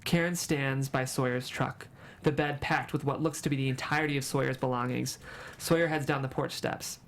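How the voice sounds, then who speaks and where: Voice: medium pitch, fairly even intonation, moderate pace. A narrator reading screenplay stage directions at a table read in a dramatic storytelling manner.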